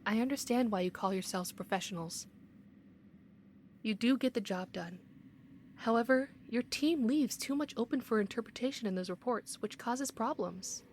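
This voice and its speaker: A woman playing a stern, by-the-book city investigator in an audio roleplay, speaking in a measured, authoritative, admonishing way. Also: on the high side, darkish tone, a little hiss or hum, close to an everyday voice.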